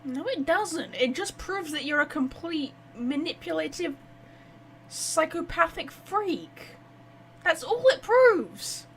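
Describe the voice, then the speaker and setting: A woman's voice: very high-pitched, lively intonation, slow, a bit bright, slight background noise, low-quality recording. A woman giving casual let's-play commentary as a gaming streamer, reacting bluntly and with some swearing.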